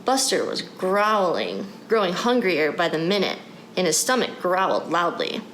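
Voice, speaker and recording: A woman's voice: fairly low pitch, big pitch swings, moderate pace, a bit bright, echoey room. A woman reading a children's storybook aloud as a narrator. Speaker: story reader. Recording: clear background noise.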